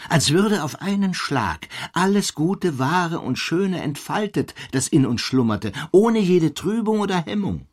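An actor playing an earnest, irritable middle-aged scholar in a German radio play, speaking in elevated, emphatic, bookish phrases. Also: male voice, fairly high pitch, sing-song, unhurried, few pauses, heavily acted. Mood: fervent. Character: earnest, irritable male scholar enraptured by a miracle.

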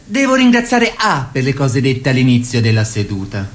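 A man's voice: mid-range voice, wildly swooping pitch, normal speed. A man playing a calculating party ideologue in an Italian radio drama, speaking in didactic, declamatory fashion. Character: doctrinaire male party ideologue, measured and calculating. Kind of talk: Italian radio theatre, political oratory.

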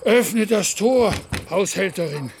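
A kindly peasant in a radio play. He speaks slowly and respectfully in a slightly high voice, full of concern. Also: lively intonation, background noise, heavily acted. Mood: calling out. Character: kind, concerned peasant neighbour, humble and respectful. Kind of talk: German radio play acting.

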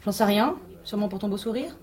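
Actress as a fast-talking, teasing police colleague. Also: female voice, medium pitch, expressive, darkish tone, a little hiss or hum, natural voice. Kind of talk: scripted radio fiction acting.

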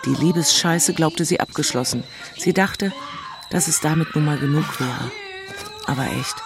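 A woman's voice: low-pitched, normal speed, creaky. Lovesick city woman, blunt and self-mocking, radio play monologue, faint music behind. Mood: wry, bitter.

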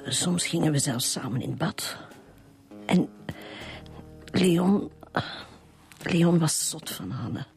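Halting, troubled Flemish mother, low voice. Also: expressive, vocal fry, darkish tone, soft background music, full character voice. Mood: uneasy, confiding. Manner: halting, repeats words. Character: troubled wife and mother giving a halting statement. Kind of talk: radio drama acting.

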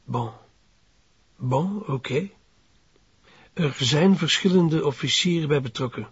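A Flemish narcotics officer cornered into informing. His voice is very husky and slightly high for a man. He sounds guarded and terse, in a dull radio drama recording. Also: animated, slow, no echo, exaggerated voice. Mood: reluctant, uneasy. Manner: defensive, terse, hesitant.

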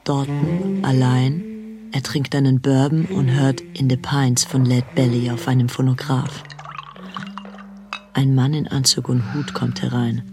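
A man's voice: mid-range voice, fairly even intonation, a little slow, close to an everyday voice. A man acting as a matter-of-fact narrator who sets the scenes in a radio drama. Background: music.

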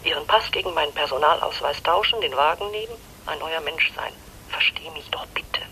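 Intense, disillusioned middle-aged wife, sharp-toned. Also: female voice, low-pitched, fairly quick, slight background noise, rough recording. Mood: wistful, pleading. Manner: intense, pleading. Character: disillusioned middle-aged wife longing to escape her life. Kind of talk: German radio play acting.

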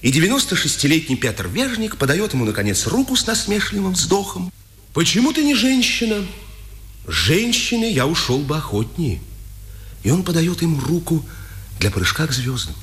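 A man's voice: a little high, big pitch swings, normal speed, a bit bright. An actor playing an imprisoned resistance man facing execution, theatrical, poetic and intense, in a Soviet radio drama of the 1950s. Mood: impassioned, reflective. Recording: vintage radio recording with hiss.

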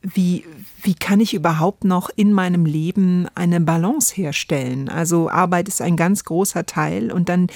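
Female co-host, fairly low voice, reflective conversational talk, very dry studio sound. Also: normal speed, vocal fry, hardly any pauses, a little mellow, no echo. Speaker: radio podcast co-host.

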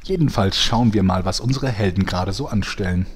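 A man's voice: sits low, fairly quick, slightly rough. A man playing the wry narrator of a German parody audio drama, in scripted comic narration. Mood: wry narrating. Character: wry male storyteller of a parody soap.

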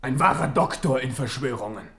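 A man's voice: medium pitch, lively intonation, fairly quick, vocal fry, fairly bright tone, breathy edge, heavily acted. An actor in a German radio drama playing a proud, impulsive, war-weary king. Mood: weary, reflective.

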